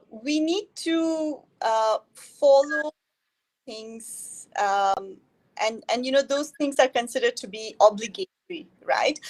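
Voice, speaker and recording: A woman's voice: high-pitched, poor audio. A woman from India, a guest in a casual interview over a video call, talking hesitantly with many fillers.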